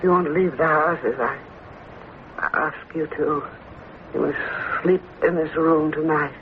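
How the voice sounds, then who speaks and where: A woman's voice: deep voice, a little slow, gravelly. Fearful, commanding old woman, tinny radio. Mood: fearful, urgent. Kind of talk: 1940s film acting. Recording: tinny, noisy old radio broadcast of film sound.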